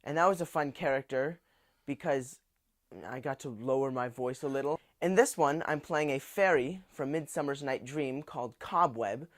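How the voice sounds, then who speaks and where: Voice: mid-range voice, normal speed. Casual, conversational voice actor. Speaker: audiobook voice actor. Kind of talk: documentary interview.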